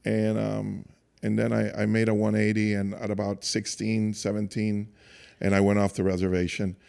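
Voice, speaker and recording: A man in his fifties from Cuba, a church staff guest telling his story in a casual interview, soft-spoken and halting with many restarts. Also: on the low side, completely flat delivery, vocal fry, clean tone.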